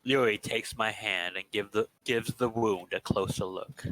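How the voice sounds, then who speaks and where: A man's voice: on the low side, steady tone, measured pace, fairly bright tone, no room sound, poor audio. A man narrating game text aloud in an impromptu reading, playful with asides.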